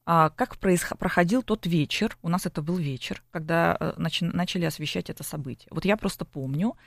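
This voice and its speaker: A woman with a gravelly, fry-edged voice explains her subject patiently, like a lecturer, often tagging her sentences with a quick question to her listener. She speaks as an expert guest in a broadcast interview, and the recording is dry and close with little room sound. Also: lowish voice, dry recording. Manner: lecturing, with frequent tag questions. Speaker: psychologist guest.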